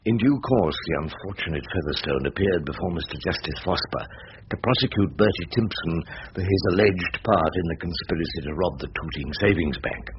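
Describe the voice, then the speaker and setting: An actor playing a wry, worldly older defence barrister with an English accent, acting and narrating in a radio drama. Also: male voice, low voice, expressive, brisk. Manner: wry, sly, avuncular. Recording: dull radio recording, faint noise.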